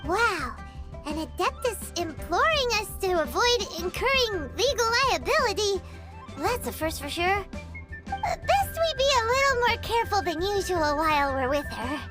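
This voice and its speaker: A woman voicing a tiny childlike female sidekick in a video game, squeaky, excitable and cheeky. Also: a little slow, music in the background, heavily acted. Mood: wry. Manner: speaks excitedly, cheeky, refers to self by name. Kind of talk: video game character acting.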